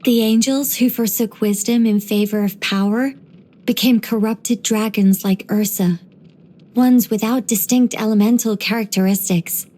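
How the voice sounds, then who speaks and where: A woman voicing an ancient angel in a video game, dignified yet whimsical and chatty, with a measured, gently playful delivery. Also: fairly high pitch, fairly even intonation, poor audio. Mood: wistful, serene. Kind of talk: video game character voice lines.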